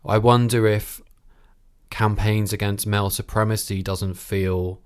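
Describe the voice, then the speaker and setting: Male podcast interviewer, fairly low pitch, reflective conversational delivery that thinks aloud. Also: fairly even intonation, a little slow, smooth.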